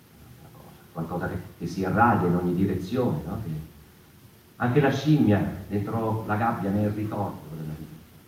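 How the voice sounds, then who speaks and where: A man performing a halting, reflective theatrical monologue as a brooding voice of the mouse people. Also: low voice, a little mellow. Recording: echoey, distant, faintly noisy room recording.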